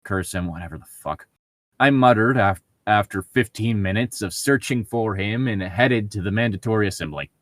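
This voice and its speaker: Lowish-voiced, sardonic, sweary man. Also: moderate pace, a bit bright, no echo. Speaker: podcast co-host reading aloud. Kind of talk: casual group fan fiction read-aloud with banter.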